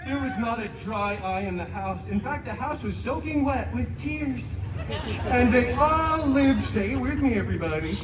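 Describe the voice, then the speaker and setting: A man's voice: very high-pitched, expressive, normal speed. A man playing a wisecracking, theatrical masked antihero who hosts a holiday storytime stage show, teasing and hamming it up with bursts of song. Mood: mock-sentimental. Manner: teasing, hammy, breaks into singing. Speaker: storyteller and live show host. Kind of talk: live theme-park stage show patter. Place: live show venue with audience, recorded from afar. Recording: distant echoey crowd recording, noisy ambience.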